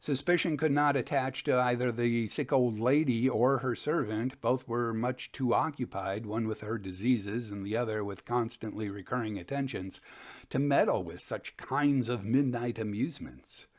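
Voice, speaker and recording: A man hosting and reading Victorian ghost stories in a measured, expressive storytelling manner. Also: medium pitch. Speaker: podcast host and reader of ghost stories.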